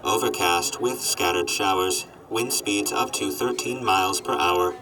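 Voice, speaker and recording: An actor voicing a gloomy, miserable male robot in a radio drama, speaking in a flat machine monotone. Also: low-pitched, unhurried, far from the mic, music in the background, rough recording.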